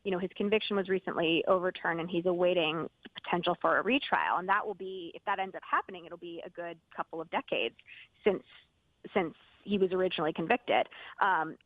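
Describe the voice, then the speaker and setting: A woman guest dialing into a roundtable over a thin, tinny phone-like line. Her voice sits a little low, dry and close. She talks in a measured way, with frequent pauses and fillers. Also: no echo. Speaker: podcast host, guest. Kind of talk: roundtable discussion.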